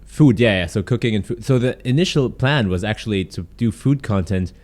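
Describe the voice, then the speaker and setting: A young man from Germany and the UK, a content creator, telling stories as a guest in a casual podcast interview. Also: on the low side. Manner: quick, animated storytelling with frequent "like".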